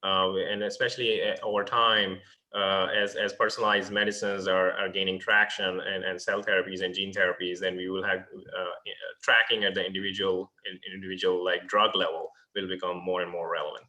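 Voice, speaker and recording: Deep, flat-voiced startup founder. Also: male voice, fairly quick, low-quality recording. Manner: hesitant, many fillers and restarts. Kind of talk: answering investor questions.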